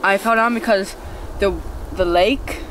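A young man talks casually to his camera outdoors, rambling and filling pauses with um and like. His voice sits fairly high. The audio is noisy, picked up by the camera's own mic outside. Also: steady tone, fairly bright tone. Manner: rambling with many ums and likes. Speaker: vlogger. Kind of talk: casual outdoor vlog narration. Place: snowy backyard hillside outdoors. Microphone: camera mic, handheld or far camera.

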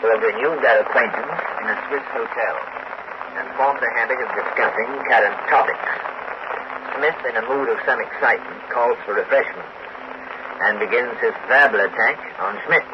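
A man's voice: mid-range voice, moderate pace. Man, clipped theatrical delivery, 1940s radio sketch, dull lo-fi sound, heavy hiss. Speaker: radio broadcast narrator and actor. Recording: scratchy archival radio with loud noise.

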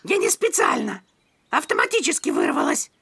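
A woman's voice: high-pitched, wildly swooping pitch, normal speed, creaky, a bit bright, breathy edge, full character voice. A woman voicing a bookish young male caterpillar in a children's cartoon, showily polite and instructive, easily irked. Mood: indignant. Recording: background music.

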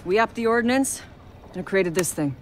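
A woman playing a weary, hard-edged military commander in a cinematic game, speaking tersely and gravely. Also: medium pitch, expressive, moderate pace, slight background noise, close to an everyday voice, poor audio. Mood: grave resolve.

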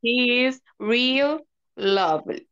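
A woman on a dull, lo-fi video call speaks slowly and gushes fondly. Her voice is bright and a little high. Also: clean tone. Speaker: livestream guest, makeup artist. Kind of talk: casual live stream chat.

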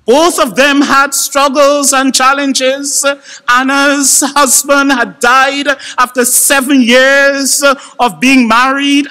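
A man's voice: very high voice, bright, forceful tone. A middle-aged man preaching a sermon at a church podium, impassioned and emphatic. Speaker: pastor. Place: church sanctuary with congregation. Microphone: podium microphone. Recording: long church reverb.

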